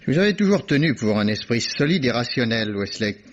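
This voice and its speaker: An actor playing a shrewd, self-assured police inspector in a vintage French radio drama, teasing and chuckling. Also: male voice, mid-range voice, sing-song, brisk. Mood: confident. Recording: dull old radio archive recording.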